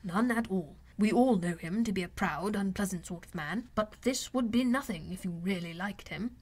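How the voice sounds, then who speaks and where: A wry older gentleman father from a classic novel audiobook, played by a woman. The delivery is measured, dry and formal, with a fond, advising temper. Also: mid-range voice, slightly rough, close to an everyday voice. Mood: sceptical. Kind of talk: audiobook character voice.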